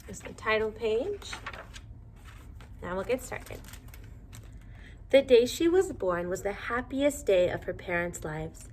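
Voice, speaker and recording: Female storybook reader, mid-pitched, expressive and measured, for young listeners. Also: slight background noise. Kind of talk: children's story read-aloud.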